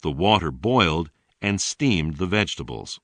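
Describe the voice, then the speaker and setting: A man reading a scripted English lesson in a deliberate, clearly enunciated way. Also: deep voice, very animated, fairly bright tone, no room sound. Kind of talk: scripted read-along narration.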